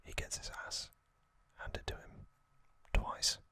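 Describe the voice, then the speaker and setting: A man hosting an ASMR video, whispering a casual, opinionated fan recap with frequent pauses and ums. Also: sharp tone, no room sound. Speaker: ASMR host.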